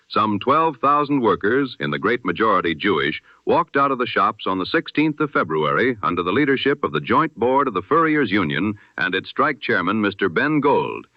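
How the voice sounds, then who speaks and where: A man reading the news in the formal, measured manner of an old-time radio announcer. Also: medium pitch, no room sound. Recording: lo-fi, dull radio-style sound.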